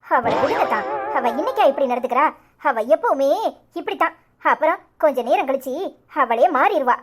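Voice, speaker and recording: A woman's voice: high voice, expressive, fairly quick, a little hiss or hum. A woman voicing a concerned, sensible young village woman, sympathetic and advising in a cartoon drama.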